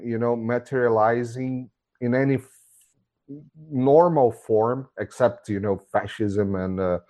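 A man's voice: medium pitch, darkish tone. A man from Brazil with a Brazilian accent, a guest in a casual video call interview, speaking bluntly and exasperatedly with many restarts. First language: Portuguese. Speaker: guest commentator. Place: home, hot room.

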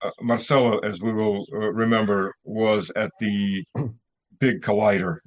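A man's voice: on the low side, fairly even intonation, unhurried. A man hosting a casual interview show over a video call, joking and rambling with lots of fillers. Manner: jokey, rambling with many fillers. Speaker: show host.

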